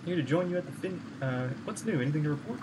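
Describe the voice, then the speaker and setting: Male streamer, fast and lively, mid pitch, casual quips over game music. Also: noisy background. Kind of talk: offhand gameplay commentary, reading choices.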